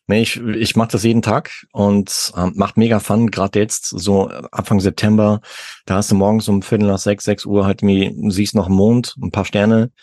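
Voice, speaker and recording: A man with a very low, deep voice hosts a podcast in a casual, easygoing way. He talks freely with plenty of fillers. His voice is recorded dry and close, with no room sound. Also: moderate pace, no echo. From Germany. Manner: relaxed, chatty, many fillers. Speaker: podcast host. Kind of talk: casual interview chat. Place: home in France.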